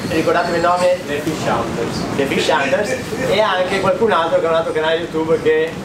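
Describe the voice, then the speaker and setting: Guy running an awards thing, highish voice, loud crowd around him, kinda halting. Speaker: competition organizer and presenter. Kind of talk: informal award ceremony speech. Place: covered event space, small stage with banners. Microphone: camera several metres away. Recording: echoey, distant camera mic, loud crowd.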